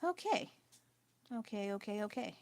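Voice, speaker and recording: A woman chatting casually while painting on a live stream at home, self-deprecating and quick to laugh, with a loud voice. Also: mid-range voice, expressive, creaky. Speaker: artist livestreaming her painting. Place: at home with family nearby.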